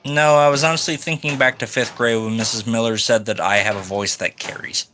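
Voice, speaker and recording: A man co-hosting a live game stream over a voice call, naturally loud, joking in a dry way. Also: mid-range voice, fairly even intonation, fairly quick, soft background music.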